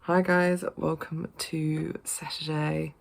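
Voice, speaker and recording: A woman's voice: deep voice, little pitch movement, takes it slowly, vocal fry. A British woman chatting casually in a vlog, rambling and swearing freely, sounding a bit congested. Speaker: gaming vlogger.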